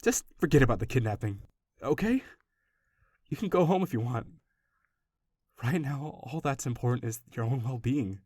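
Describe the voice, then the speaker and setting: A man's voice: medium pitch, animated, normal speed, pauses often, darkish tone, husky, no room sound, clean studio sound. A man playing an obsessive, doting young stalker in an audio roleplay, talking straight to the listener in an affectionate, rambling, gushing way. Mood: reassuring, earnest. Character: obsessive, doting young male stalker, awkwardly gentle kidnapper.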